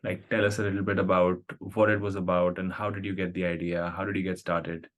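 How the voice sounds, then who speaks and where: A man hosting a casual interview podcast, asking questions in a relaxed way with many fillers. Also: low voice, a little fast. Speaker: podcast host.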